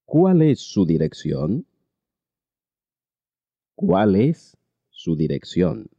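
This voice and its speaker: A man teaching a language lesson, reading each phrase slowly and repeating it twice. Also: fairly low pitch, wildly swooping pitch, warm tone, no echo, dull audio. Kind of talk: slow repeated translation drills.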